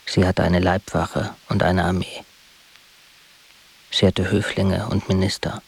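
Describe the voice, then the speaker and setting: Radio play, sombre defiant poet: low, rough-edged voice, flat grave declarations. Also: male voice, unhurried, full character voice, low-quality recording. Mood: grave, declarative. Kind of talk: literary radio drama monologue.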